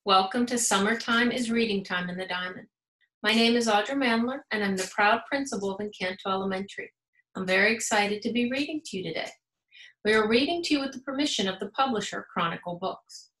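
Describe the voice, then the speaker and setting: Bright, animated American woman reading a storybook. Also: medium pitch, fairly quick. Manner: animated, emphatic storytelling with dramatic gasps. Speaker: school principal reading aloud to children. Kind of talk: expressive children's storybook read-aloud. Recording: roomy, echoing sound.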